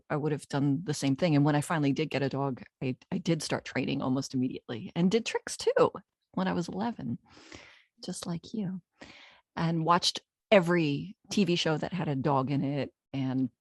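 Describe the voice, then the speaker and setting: A woman's voice: low voice, a little mellow, no room sound, clean studio sound. A middle-aged woman hosting a podcast, chatting casually and laughing easily. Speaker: podcast host. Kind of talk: casual interview chat. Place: indoor room. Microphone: studio mic.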